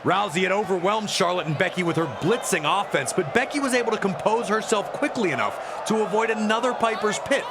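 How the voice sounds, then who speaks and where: A man's voice: high-pitched, fairly quick, few pauses. A man giving emphatic, dramatic, scripted narration of wrestling matches as a commentator. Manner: emphatic, dramatic storytelling delivery. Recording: loud crowd noise behind the voice.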